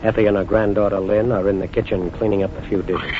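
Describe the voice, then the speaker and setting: A man's voice: fairly low pitch, flat delivery, quick pace, dull audio, background noise, lightly acted. A man giving scripted narration for a 1940s radio drama, on an old radio recording. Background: music.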